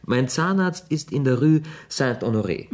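A man's voice: medium pitch, animated, moderate pace, a little mellow, close to an everyday voice. A man in a German radio play, playing a wealthy young bon vivant, urbane, flippant and mock-gallant. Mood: breezy, evasive. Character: suave, wealthy young man about town, playfully teasing.

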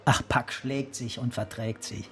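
A man's voice: medium pitch, fairly even intonation, a little slow, vocal fry. An actor playing a cynical, wisecracking male police detective in a German radio crime drama, with a flippant, sarcastic manner. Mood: mocking, sardonic. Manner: sardonic, flippant.

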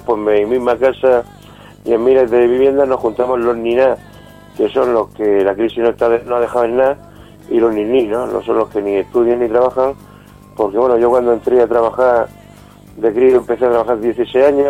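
A man in his fifties with an accent from Spain, giving an informal interview as an activist guest, halting and fond of tag questions. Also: medium pitch, fairly even intonation. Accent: Spanish from Spain. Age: 50s. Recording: dull band-limited call with music behind.

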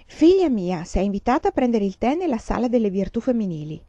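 A woman reads a strict storybook mother who scolds and lectures in a raised, clipped, impatient voice. The tone underneath is mellow and warm. It is recorded dry and a little dull. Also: on the high side, very animated, fairly quick, dry recording, pitched above the performer's own voice. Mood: scolding. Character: stern scolding middle-aged mother. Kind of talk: audiobook character reading.

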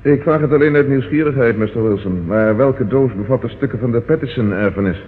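An actor in a radio play playing a brisk, authoritative police inspector, businesslike and courteous. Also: male voice, mid-range voice, close to an everyday voice. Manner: businesslike, courteous but commanding. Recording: tinny, noisy old radio recording.

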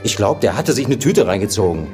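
An actor playing a wary, street-wise police informant in a German radio crime drama, evasive and colloquial. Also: male voice, on the low side, sing-song, fast talker, over music. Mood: gossiping, dismissive.

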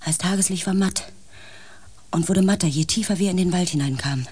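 A male actor playing an anxious member of a space expedition in a radio play, telling the story in a suspenseful, hushed manner. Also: high voice, fairly quick, a little hiss or hum, close to an everyday voice. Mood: eerie, hushed. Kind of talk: radio play acting with first-person narration.

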